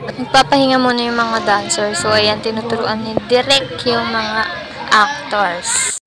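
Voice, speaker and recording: A woman's voice: on the high side, lively intonation, a little slow, crowd noise. A woman with a Filipino accent narrates a casual vlog, chatty and playful with slangy asides. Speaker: vlogger and assistant stage director. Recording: voice-over under loud music.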